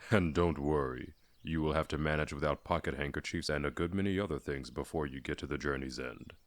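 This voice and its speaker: A man's voice: rumbling low, fairly quick, no room sound. A man voicing a curt, practical dwarf in a storybook reading. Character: gruff, practical male dwarf, curt but helpful.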